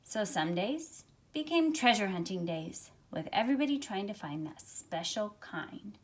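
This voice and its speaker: A middle-aged woman reading a picture book aloud to young listeners in an expressive, measured storytelling way. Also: medium pitch. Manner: expressive, measured storytelling with drawn-out phrases and softened asides. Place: small carpeted room at home, indoors. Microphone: phone at arm's length.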